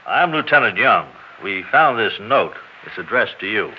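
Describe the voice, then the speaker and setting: An actor playing a dry, matter-of-fact American police lieutenant in a 1960s radio drama. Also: male voice, lowish voice, sing-song, normal speed. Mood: dry, official. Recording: dull, hissy 1960s radio recording.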